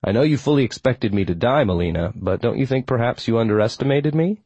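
A man's voice: mid-range voice, animated, fast, a little mellow, breathy edge, no echo. A man voicing a wary, wry male druid in an audiobook, polite but blunt and dry as he negotiates firmly.

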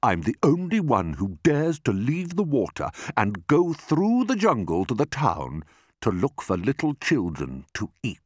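A man's voice: medium pitch, huge pitch swings, unhurried, husky, pitched above the performer's own voice, heavily acted. A man voicing an enormous, greedy, boastful crocodile who savours his words in a storybook reading. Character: enormous greedy boastful male crocodile, sly child-eater.

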